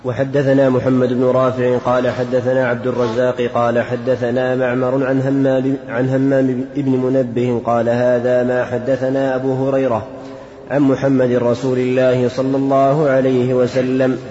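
A man reading texts aloud as a student, in a slow, measured recitation. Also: mid-range voice, completely flat delivery, dark tone. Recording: echoey hall, dull lo-fi, off-mic.

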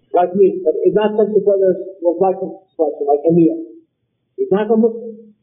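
A man giving an Islamic lecture in a measured, explanatory way, posing rhetorical questions and taking questions from the audience. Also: high-pitched. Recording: tinny phone-like recording.

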